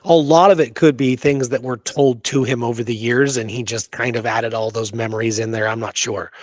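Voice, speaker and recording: A man's voice: mid-range voice, steady tone, brisk, no room sound. Emphatic man discussing history, dry close sound. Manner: emphatic, opinionated, repeats points for emphasis. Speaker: podcast host explaining frontier history.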